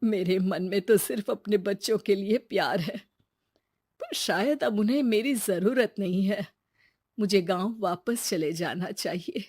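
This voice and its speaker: A woman voicing a gentle, self-effacing old village grandmother in a cartoon moral story, affectionate and hesitant. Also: mid-range voice, measured pace, no echo, clean studio sound, natural voice. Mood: resigned, hurt. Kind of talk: scripted animated moral story dialogue.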